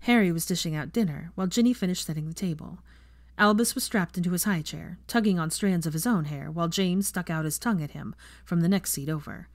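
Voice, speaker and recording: A woman's voice: lowish voice, a little fast, no room sound, studio quality. A woman reading a story aloud as an audiobook narrator.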